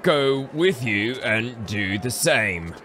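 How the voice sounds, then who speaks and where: Bright-voiced, observant companion. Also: male voice, mid-range voice, animated, takes it slowly, slight background noise. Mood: cautious. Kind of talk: audiobook drama acting.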